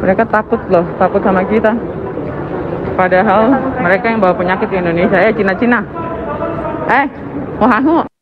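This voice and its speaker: A man's voice: high voice, fairly quick, long pauses. A man complaining in a casual spoken video commentary, recorded with a muffled phone-like sound. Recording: muffled phone-like clip, loud traffic noise.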